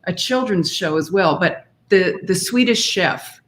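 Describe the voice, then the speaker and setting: A woman's voice: fairly low pitch, lively intonation, measured pace. A woman speaking as an interview guest, explaining singing diction conversationally and demonstrating by example. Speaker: interview guest, singer and author.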